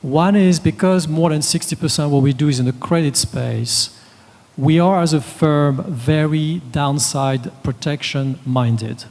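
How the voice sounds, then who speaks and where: A man on a conference panel, giving a measured, businesslike presentation point by point. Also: on the high side, gravelly, darkish tone. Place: conference hall. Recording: long reverb of a conference hall.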